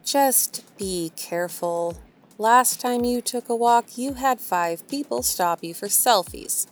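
An actress playing an efficient, unflappable, matter-of-fact assistant in an audio drama. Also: female voice, fairly high pitch, slow, soft background music. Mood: matter-of-fact, concerned.

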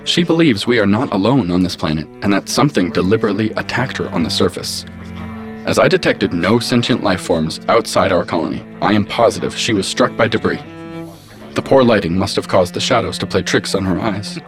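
Man voicing a measured low-voiced artificial intelligence. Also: expressive, close to an everyday voice. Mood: measured, analytical. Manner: precise, measured, formal. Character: calm, logical artificial intelligence logging events. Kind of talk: science fiction audio drama. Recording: music behind the voice.

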